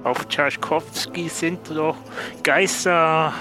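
Higher-pitched man, earnest role-player. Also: fairly even intonation, slow pace, over music. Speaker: listener guest player. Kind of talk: improvised role-play in audio adventure.

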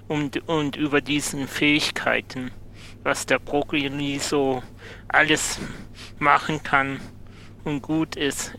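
A man's voice: fairly high pitch, sharp tone. A man casually taking part as a player in an improvised roleplay audio adventure. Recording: faint ambient, vehicle-like background noise.

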